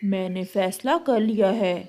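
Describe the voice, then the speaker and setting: A woman's voice: mid-range voice, measured pace, a little mellow, natural voice. A woman playing a stern, stubborn father bird with a firm, commanding manner in a cartoon story. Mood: cold resolve.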